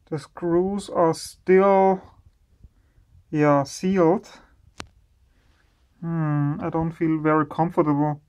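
A man hosting an electronics teardown video, slowly and admiringly commenting on the parts he finds. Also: fairly high pitch. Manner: slow, admiring, savoring each part.

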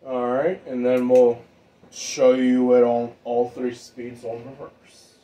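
A man giving a casual, informal hobbyist demonstration in an unhurried, rambling way. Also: medium pitch, little pitch movement, warm tone, low-quality recording. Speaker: ceiling fan hobbyist vlogger. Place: indoor room with exposed pipes and ductwork.

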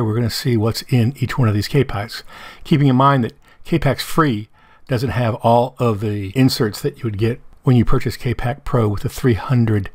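A middle-aged man with a hoarse voice, explaining a software tutorial step by step over his screen recording. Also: medium pitch, no echo. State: hoarse from medication.

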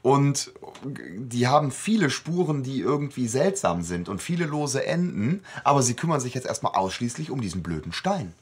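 A man's voice: mid-range voice, sing-song, moderate pace, slightly rough. A man co-hosting a podcast, chatting casually and explaining things, often correcting himself as he goes. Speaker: podcast co-host. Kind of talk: casual discussion of an audio drama episode.

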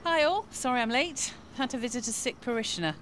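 A woman's voice: fairly high pitch, brisk, fairly bright tone, lightly acted. An actress playing a cheerful, friendly female vicar in a radio soap. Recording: clear outdoor background noise.

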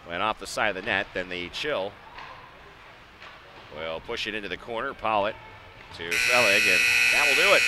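A man gives live hockey play-by-play in clipped, running phrases. His voice sits at a middle pitch, with clear arena noise behind him. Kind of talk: live sports radio commentary. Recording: noisy live ice arena ambience.

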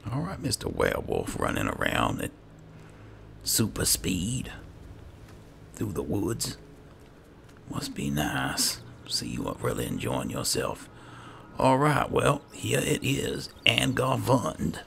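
Man, heavily creaky bass, very animated intonation, gravelly, casual gaming host. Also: takes it slowly, pauses often, slight background noise. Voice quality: very deep, gravelly. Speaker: gaming channel host, player. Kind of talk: casual roleplay game commentary.

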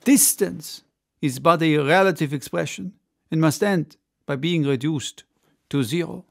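A man's voice: a little high, measured pace, vocal fry. A man voicing a cheerful, confident French adventurer giving an emphatic public speech in a storybook. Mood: visionary, confident. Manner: oratorical, emphatic. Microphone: close studio microphone on desk.

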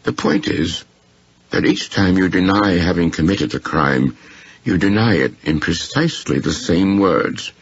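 Deep, gravelly, courteous shrewd gentleman, as a male narrator plays him. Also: expressive, no room sound, highs cut off, exaggerated voice. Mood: quietly firm. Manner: formal, deliberate, precise.

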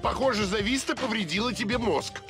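A man's voice: sits high, fairly quick, music in the background. A man voicing a helpful hero companion in a game dub, with a showy, sing-song manner.

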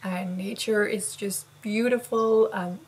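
A woman's voice: mid-range voice, fairly even intonation, takes it slowly, a little mellow, breathy edge, low-quality recording. A young woman chatting casually to camera outdoors, in a hesitant, meandering way. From Belgium. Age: young adult. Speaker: vlogger. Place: outdoors on a house porch.

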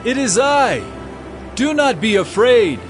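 Man acting slow, high-pitched commanding holy teacher. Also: sing-song, exaggerated voice. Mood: urgent reassurance. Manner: slow, declamatory. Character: Jesus, commanding, reassuring male teacher. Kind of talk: dramatized scripture acting. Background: loud music.

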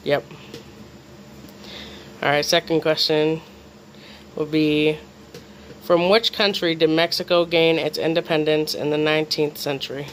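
A man's voice: fairly high pitch, steady tone, unhurried, pauses often, fairly bright tone, a little hiss or hum, poor audio. A man hosting a casual family quiz, reading out the questions. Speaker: quiz host.

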